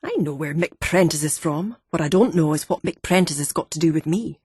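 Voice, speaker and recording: A woman voicing a gruff, wheezy older ex-miner man with a deep, gravelly voice, a Fife accent and a blunt, irritated manner. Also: brisk, darkish tone, no echo, studio quality. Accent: Fife Scottish.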